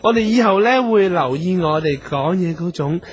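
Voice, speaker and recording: A man playing an excitable, fussy, fawning talent manager in a radio comedy drama, with an effusive, wheedling delivery and a Hong Kong Cantonese accent. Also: sits high, normal speed, faint music behind. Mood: gushing, fawning.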